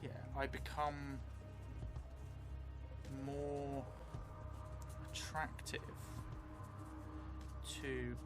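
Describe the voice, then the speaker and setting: A middle-aged man improvising narration for a solo role-playing game, thinking aloud in halting, broken phrases. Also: medium pitch, little pitch movement. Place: small indoor studio room at a table, music behind. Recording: music playing behind the voice.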